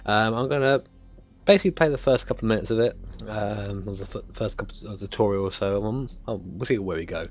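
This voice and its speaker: A young man, chatting casually and hesitantly about a racing game he is playing, his voice croaky. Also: lowish voice, brisk, a little hiss or hum. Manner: rambling, hesitant, with many ums. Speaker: gaming YouTuber. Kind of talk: casual gameplay commentary.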